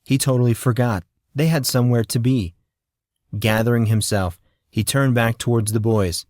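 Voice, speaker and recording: A man's voice: medium pitch, fairly even intonation, moderate pace, darkish tone, no echo, clean studio sound. A man reading scripted fantasy fiction as an audiobook narrator.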